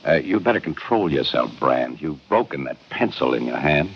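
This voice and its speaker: An actor playing a shrewd homicide inspector in a 1950s radio crime drama, questioning in a probing, pointed way. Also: male voice, a bass voice, expressive, normal speed, a little hiss or hum. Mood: accusing. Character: shrewd, accusing male homicide police inspector. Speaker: lead actor in a detective series. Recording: muffled vintage radio recording.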